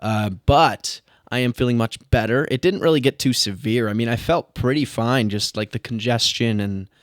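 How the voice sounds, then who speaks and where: A man's voice: medium pitch, moderate pace, dry recording, studio quality. A man co-hosting a podcast, chatting casually and teasing his friend, with a congested voice after a cold. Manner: joking, teasing. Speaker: podcast co-host. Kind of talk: casual chat.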